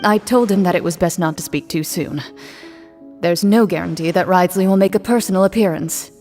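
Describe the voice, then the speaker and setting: An actress playing a composed, reserved female duelist in a video game, speaking in a calm, formal, dry manner. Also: lowish voice, fairly quick, faint music behind, natural voice. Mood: measured, knowing.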